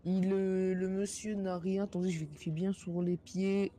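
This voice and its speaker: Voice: medium pitch, flat delivery, moderate pace, dark tone. A player role-playing a police cadet online, politely and hesitantly narrating each action over voice chat. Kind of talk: casual improvised online role-play. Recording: noisy game voice chat.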